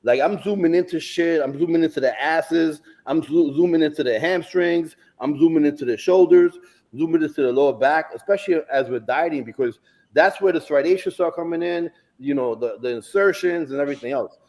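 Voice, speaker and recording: A middle-aged bodybuilding coach who stutters, talking emphatically in casual chat and swearing often. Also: male voice, a little high, fairly quick, darkish tone.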